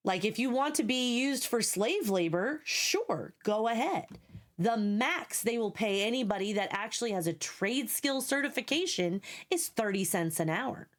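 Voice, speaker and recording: A woman's voice: on the high side, animated, moderate pace. A young woman streamer chatting casually with viewers, blunt and sarcastic, swearing freely, her voice scratchy and sleepy.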